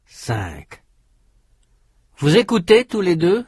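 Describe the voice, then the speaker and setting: A man reading drill questions for a language course, in slow prompts with lively intonation. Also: high voice, breathy edge, dry recording, clean studio sound.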